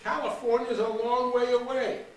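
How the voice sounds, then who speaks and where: A man voicing Laverne, a brassy young woman from Brooklyn who stammers when excited, recorded in an echoey room from a distance. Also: extremely high pitch, moderate pace, pitched above the performer's own voice. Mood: wistful. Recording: roomy room, distant mic, faint ambience.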